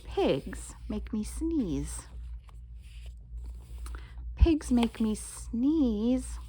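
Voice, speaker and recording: Older woman, grandmotherly storybook reader, high voice, animated intonation, expressive read-aloud. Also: drawn-out words, slight background noise. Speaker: grandmother reading children's books.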